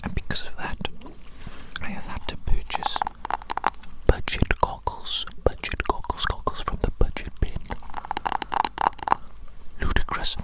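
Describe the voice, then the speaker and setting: A performer voicing a pompous, vain evil scientist in a breathy, unvoiced ASMR whisper, with theatrical, repetitive phrasing. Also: a little slow, long pauses, natural voice, rough recording. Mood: indignant, self-pitying.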